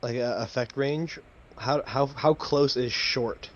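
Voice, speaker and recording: A man's voice: mid-range voice, takes it slowly, highs cut off. A man playing in a tabletop game, asking hesitant rules questions with stumbles and restarts. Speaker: player. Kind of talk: casual tabletop play.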